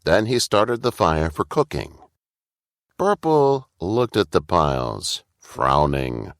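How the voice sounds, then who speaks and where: A man's voice: sits low, big pitch swings, takes it slowly, dry recording, clean studio sound. A man narrating a scripted children's story as a storyteller.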